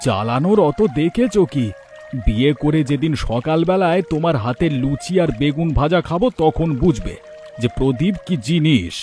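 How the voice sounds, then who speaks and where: A man acts out a young village boatman in a cartoon. His voice is fairly high, cocky and insistent, that of a lovestruck suitor. Music plays underneath. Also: lively intonation, normal speed, darkish tone, close to an everyday voice.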